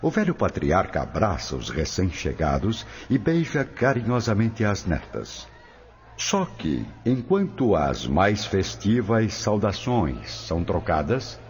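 A man's voice: deep voice, a little mellow. A man narrating an audio drama in a scripted storytelling style. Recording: faint background noise and ambience.

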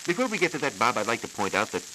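An American man working as a science reporter, giving a formal, measured, scripted explanation in 1940s radio style. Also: mid-range voice, very animated. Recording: hissy, crackly 1940s transcription disc.

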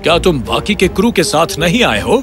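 A man's voice: fairly high pitch, very animated. A man voicing a friendly, jovial young ship crewman in a cartoon fairy tale. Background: music.

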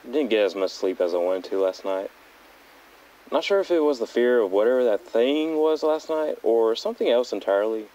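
A young man playing an uneasy teenage backpacker recording an audio diary in a measured, hesitant, understated way. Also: mid-range voice, lively intonation, mellow, close to an everyday voice. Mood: tired, groggy. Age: young adult. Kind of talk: found-footage audio log narration. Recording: dull lo-fi handheld tape recorder.

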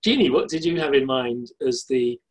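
A middle-aged man hosts an online workshop from a home study full of books. His voice is slightly husky. He moves the session along in a brisk, dry way. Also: mid-range voice, lively intonation. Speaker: workshop host. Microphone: laptop webcam on video call.

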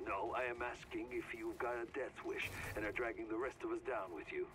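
A man's voice: medium pitch, fairly even intonation, fairly quick, background noise, natural voice. A man playing a bitter, confrontational driver in a video game. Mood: resentful. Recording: loud game ambience behind.

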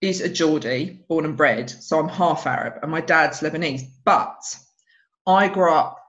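A woman's voice: sits low, a little slow. A woman in her thirties with an Essex accent, a stand-up comedian chatting on a video call and acting out other people's lines as she tells stories. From England. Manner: animated storyteller who acts out other people's lines. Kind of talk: casual interview chat. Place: home room, video call. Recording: dull lo-fi video call audio.

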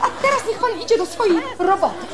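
An actress playing a haughty, indignant schoolmistress in a radio drama, scolding with scorn. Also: female voice, extremely high pitch, big pitch swings, fast, a crowd in the background. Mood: spiteful, scolding. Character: haughty, indignant schoolmistress fussing over her cat. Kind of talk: Polish radio drama acting.

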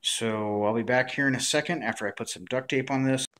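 A man's voice: medium pitch, fairly even intonation, brisk, a bit bright. A man talking casually and matter-of-factly through a hands-on craft review at a table indoors. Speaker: prop maker reviewing and modifying a costume mask. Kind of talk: casual unboxing and tutorial talk to camera. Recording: clear background noise, handling clicks.

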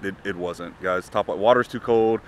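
A young man, talking casually to the camera as he fishes outdoors, rambling and excitable. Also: low-pitched, moderate pace. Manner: rambling, excitable, talks to himself during fights. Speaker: fishing vlogger. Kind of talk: casual talk to camera while fishing. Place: outdoors at ponds, windy. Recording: loud outdoor wind and water noise.

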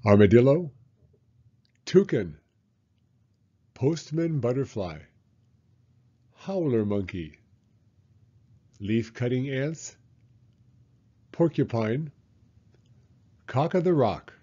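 Very slow, mellow older male storyteller. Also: mid-range voice, very animated, slightly rough, highs cut off. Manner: deliberate, pauses between words. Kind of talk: slow children's picture book read-aloud.

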